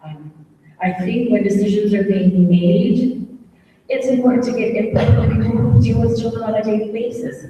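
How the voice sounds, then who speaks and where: A woman who teaches fifth grade, giving an earnest, measured public comment with many pauses and fillers. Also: mid-range voice, fairly even intonation, warm tone, a little hiss or hum. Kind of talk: public comment at a town hall. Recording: echoey hall, mic far off.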